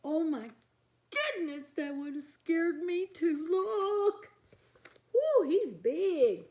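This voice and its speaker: A woman's voice: high voice, very animated, slow, a little mellow. A woman reading a storybook aloud for young children, expressively, with chatty asides to her listeners.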